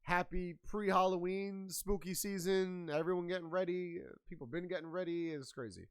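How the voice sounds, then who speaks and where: A man's voice: high voice, moderate pace, clean tone. A man hosting a casual podcast chat in a hyped, slangy, playful way. He sounds a bit nasal and congested while getting over a sinus infection. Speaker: podcast host.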